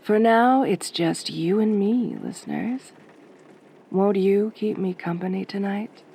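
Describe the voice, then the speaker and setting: Woman doing flirty wry night dj lady for audio roleplay, velvety soft n mellow, real slow drawl. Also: mid-range voice, slight background noise. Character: sultry, teasing late-night radio DJ woman. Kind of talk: soft-spoken ASMR roleplay, radio host monologue.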